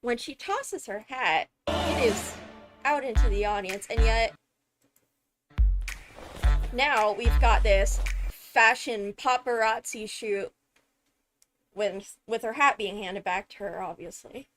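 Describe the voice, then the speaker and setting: A woman giving casual, analytical commentary on a video, animated and emphatic on key words. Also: a little high, slow, pauses often. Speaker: video host, game lore commentator. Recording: background music behind the voice. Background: music.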